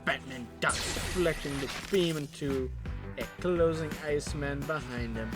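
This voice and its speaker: A man's voice: fairly high pitch, measured pace. A man narrating scripted superhero action dramatically as the narrator of an audio drama. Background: music.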